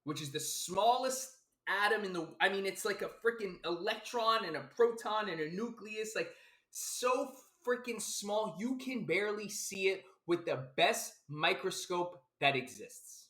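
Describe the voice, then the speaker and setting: A man's voice: sits high, lively intonation, measured pace, sharp tone. A man from South Florida, a life coach, giving a casual, emphatic philosophical monologue full of repetition, fillers and the odd swear word. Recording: roomy echo.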